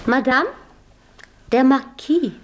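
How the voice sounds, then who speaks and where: A woman's voice: high-pitched, slow pace. An actress playing a pert young lady's maid in a radio play, on a noisy, slightly distant recording. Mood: pert, deferential. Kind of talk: 1970s German radio play acting.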